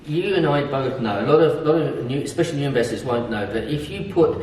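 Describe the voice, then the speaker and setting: A man's voice: mid-range voice, animated, fast talker, a little mellow. A middle-aged man chatting as an interviewer, emphatic and agreeable. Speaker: interviewer and property investor. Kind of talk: conversational interview. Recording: echoey room, distant mic, faint noise.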